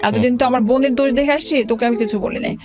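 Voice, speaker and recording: A woman's voice: on the high side, steady tone, very fast, over music. A middle-aged woman with a Bangladeshi accent tells her own life story as an interview guest, often breaking off and restarting her words. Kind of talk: personal storytelling in a radio interview.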